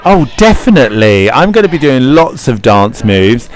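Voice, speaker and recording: A man's voice: medium pitch, big pitch swings, normal speed. A man, a pantomime actor, chatting playfully and encouragingly in an interview. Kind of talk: casual interview chat.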